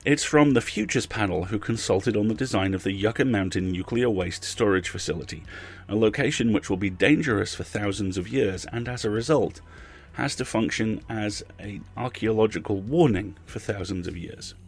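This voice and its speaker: Male podcast host, low and husky, chatty delivery with emphatic phrasing. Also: moderate pace, few pauses. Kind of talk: podcast outro with donation appeal.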